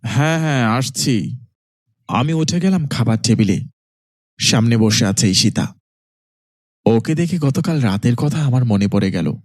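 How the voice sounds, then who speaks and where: Man voicing an earnest young son, very animated. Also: mid-range voice, measured pace, long pauses, dry recording, clean studio sound. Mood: plain, storytelling. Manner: earnest, pleading. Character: anxious young village son narrating his sister's haunting. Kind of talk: horror cartoon dialogue and narration.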